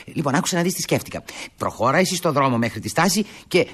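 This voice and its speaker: A man's voice: fairly high pitch, expressive, a bit bright, slightly rough. An actor playing a flustered young fiancé in a comedy, stammering, wheedling and repeating himself as he fibs. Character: flustered, fibbing young fiancé juggling two women. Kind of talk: comedy acting.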